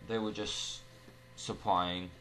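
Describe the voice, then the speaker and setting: A man's voice: sits low, steady tone, takes it slowly, fairly bright tone, slight background noise. A man reading scripted newsreel narration as the narrator.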